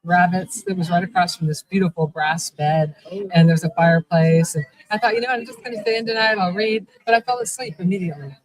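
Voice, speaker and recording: A woman's voice: on the low side, fairly quick, low-quality recording. A middle-aged woman, a shop owner, telling a long rambling story at a convention interview. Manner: chatty, rambling, many restarts. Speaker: guest, vampire shop owner. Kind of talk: casual storytelling in a live interview. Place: convention event.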